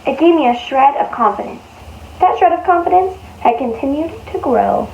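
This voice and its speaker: A woman with a high voice reads a storybook to young children as their storyteller. She stresses words in an exaggerated way and stretches some of them out. The recording is slightly dull and lo-fi, with faint noise behind her. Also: creaky. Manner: animated, exaggerated emphasis with drawn-out words. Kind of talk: expressive read-aloud of a picture book for young children.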